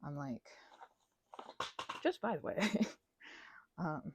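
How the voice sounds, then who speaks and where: A woman's voice: low-pitched, animated, very slow, vocal fry, noisy background. A woman in her thirties rambling casually and digressively, with a sarcastic, self-deprecating streak. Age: 30s. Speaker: crafting vlogger and ASMR creator.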